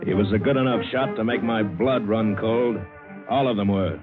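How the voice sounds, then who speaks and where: An actor playing a hard-boiled American adventurer, narrating tersely and vividly in an old radio drama. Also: male voice, fairly low pitch, normal speed, natural voice. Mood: tense storytelling. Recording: dull old radio broadcast, loud music.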